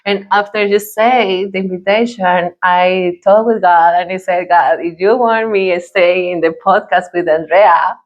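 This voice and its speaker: Low-voiced Colombian woman, halting English. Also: steady tone, highs cut off. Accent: Colombian Spanish. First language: Spanish. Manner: earnest, halting English with repeated phrases. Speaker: guest. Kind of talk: interview conversation.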